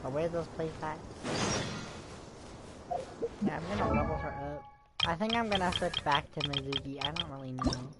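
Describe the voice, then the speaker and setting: A woman with a low voice commentates casually on a video game. She chats to herself and the characters while loud game music plays behind her. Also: normal speed, long pauses. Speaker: game streamer.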